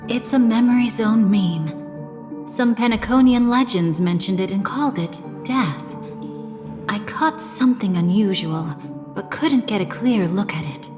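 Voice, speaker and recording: An actress playing a composed, cunning, protective young woman with playful menace in a video game story, speaking in a measured, knowing way. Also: medium pitch, close to an everyday voice. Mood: grave. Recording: long reverb, music behind.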